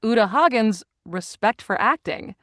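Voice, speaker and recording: A woman's voice: a little high, animated, unhurried, a bit bright, no room sound, clean studio sound. A middle-aged woman talking casually as an interview guest, telling stories and acting out the lines she quotes. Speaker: guest, actor and audiobook narrator.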